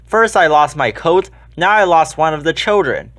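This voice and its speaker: A man acts out a forgetful male schoolteacher from a children's storybook. He is flustered and fretful, with a voice a little on the high side. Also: lively intonation, moderate pace, fairly bright tone.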